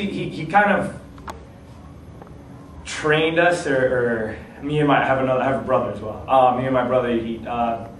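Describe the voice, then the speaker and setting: A man from the United States speaks casually in an interview, thinking aloud and repeating words as he goes. His medium-pitched voice is distant and echoing, with a faint background noise. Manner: halting, repeats himself, thoughtful.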